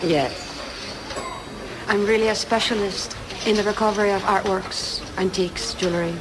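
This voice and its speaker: An actress playing a poised, businesslike insurance investigator around thirty in an audio drama, measured and polite. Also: female voice, mid-range voice, fairly even intonation, noisy background, close to an everyday voice.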